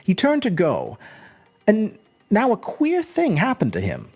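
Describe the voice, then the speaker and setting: A storyteller narrating a scripted short story in an expressive, measured, dramatic manner. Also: medium pitch, breathy edge, faint music behind. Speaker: storyteller, host.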